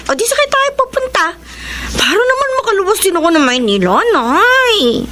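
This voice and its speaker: An actress playing an eager, respectful teenage daughter in a radio drama, with a youthful, coaxing manner. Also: female voice, extremely high pitch, very animated, moderate pace, pushed and bright, slight background noise, full character voice. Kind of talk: Tagalog radio drama acting.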